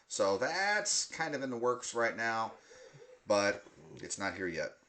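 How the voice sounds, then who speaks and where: A man's voice: mid-range voice, very animated, slow, sharp tone, a little hiss or hum. A young man hosting a casual podcast, rambling with lots of fillers and frequent laughs. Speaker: podcast host.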